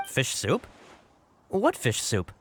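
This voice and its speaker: An actor playing an excitable, emphatic underling insisting to his boss in a video game. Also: mid-range voice, takes it slowly, slight background noise. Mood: flustered insistence.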